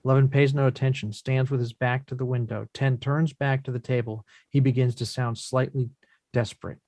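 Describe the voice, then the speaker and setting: A man narrates the stage directions of a play from a script. His delivery is measured and descriptive, in a middle pitch. The recording is dry, with very little room sound. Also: steady tone, a little mellow, no echo.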